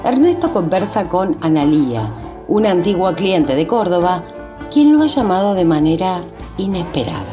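Radio theatre narrator: woman, lowish voice, lively intonation, scripted delivery over clear music. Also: moderate pace.

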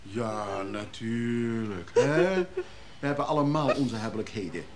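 An actor playing a glib, teasing antique dealer in a naturalistic radio drama. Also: male voice, fairly low pitch, unhurried, close to an everyday voice. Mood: sardonic, needling. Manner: sardonic, teasing, casual. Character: glib, needling antique dealer, sardonic brother-in-law. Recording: noisy old radio drama recording.

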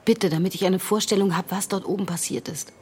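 An actress playing a grieving, insistent young woman in a naturalistic radio drama, speaking earnestly and haltingly. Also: mid-range voice, fairly even intonation, darkish tone, slight background noise, close to an everyday voice. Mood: hurt, pleading. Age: young adult.

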